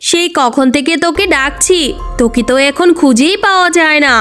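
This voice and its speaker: Woman as a high, haughty greedy fox shopkeeper. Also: fairly quick, fairly bright tone, soft background music. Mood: scornful scolding. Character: greedy, snobbish, scheming female fox shopkeeper. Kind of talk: children's cartoon dubbing.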